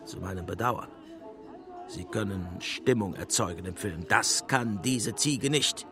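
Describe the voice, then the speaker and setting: An actor playing a condescending, menacing Nazi propaganda minister in a German radio play. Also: male voice, on the low side, a little slow. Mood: condescending, calculating. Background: music.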